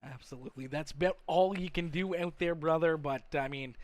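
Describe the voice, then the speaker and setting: A man's voice: a little high, fairly quick, no room sound. Chummy male race broadcast host. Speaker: sim racing broadcast host and commentator. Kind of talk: live motorsport commentary and post-race interview.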